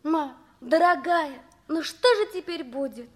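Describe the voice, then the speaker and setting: A woman's voice: high voice, unhurried. A woman acting an emotional, tender young daughter in a 1960s Soviet radio drama. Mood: plaintive.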